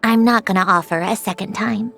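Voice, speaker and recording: A woman playing a proud, prickly sentient machine girl in a video game, curt and impatient but secretly soft. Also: medium pitch, a little fast, creaky. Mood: impatient, flustered. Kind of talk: scripted anime-style game acting.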